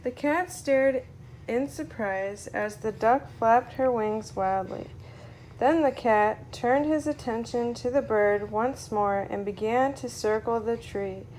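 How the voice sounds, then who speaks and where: Slow, high-voiced woman reading a story. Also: darkish tone, a little hiss or hum, low-quality recording. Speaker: storyteller reading a children's book aloud. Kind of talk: read-aloud story narration for a small child.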